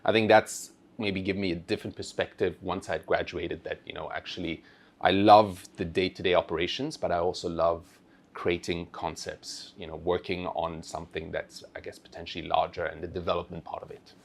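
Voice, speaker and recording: Guest founder, male, 30s: very low, gravelly voice, hesitant and filler-heavy delivery. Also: level tone, a little fast. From Switzerland-born, raised in Asia. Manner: hesitant, many fillers and restarts. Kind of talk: conversational interview.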